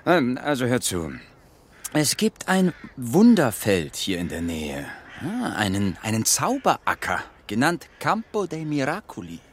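Sly disguised animal villain, children's radio drama: husky, very animated, falsely friendly and scheming. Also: male voice, medium pitch, a little slow, full character voice. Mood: scheming, luring. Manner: wheedling, mock-friendly, hesitating for effect. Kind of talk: children's radio play acting.

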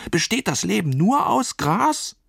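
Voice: medium pitch, sing-song, measured pace, gravelly, no room sound, pitched above the performer's own voice, exaggerated voice. A storyteller voicing a plucky, impatient young female sheep who wants to be a pirate, bossy and blustering. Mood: urging. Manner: bossy, blustering, calls out loudly.

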